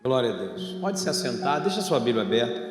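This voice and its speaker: A man's voice: medium pitch, big pitch swings, quick pace. A Brazilian man preaching an evangelical sermon as a pastor, didactic and speaking directly to his congregation with rhetorical questions. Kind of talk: evangelical church sermon with storytelling and Bible reading. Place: church hall, preaching over a sound system. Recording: echoey hall with long reverb, music behind.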